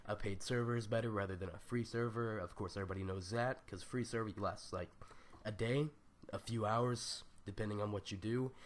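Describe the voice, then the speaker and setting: Young male tutorial host, lowish and scratchy from a stuffy nose, loose and rambling, full of slang. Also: fairly even intonation, fairly quick. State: raspy, stuffed-up voice. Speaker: gaming tutorial youtuber. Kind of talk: casual screen-recorded tutorial.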